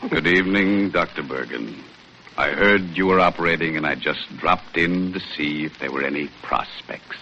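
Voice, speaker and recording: A man's voice: very deep voice, moderate pace. An actor, a man, playing a solemn undertaker in a 1930s radio comedy. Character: solemn, very deep-voiced male undertaker. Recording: muffled, noisy 1930s radio recording.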